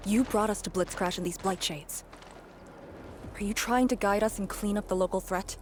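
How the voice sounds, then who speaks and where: A resolute young woman warrior from a video game, played by an actress, speaks with earnest authority at a middle pitch. Her delivery is dramatic and dutiful, with some noise behind the voice. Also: normal speed, close to an everyday voice. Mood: stern, questioning.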